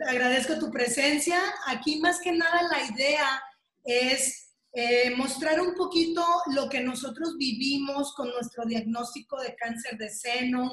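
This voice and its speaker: A Mexican woman hosting an interview, chatty and filling her pauses with "este". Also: high-pitched, a bit bright, reverberant, low-quality recording. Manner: chatty, with many "este" fillers. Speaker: interview host. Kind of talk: video call interview.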